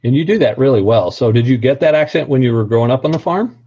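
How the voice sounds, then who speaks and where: A man's voice: medium pitch, animated, quick pace, dark tone, breathy edge. Easygoing man with a slight Missouri accent. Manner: friendly, chatty, easygoing. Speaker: actor and interview guest. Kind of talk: casual interview chat. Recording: dull lo-fi sound.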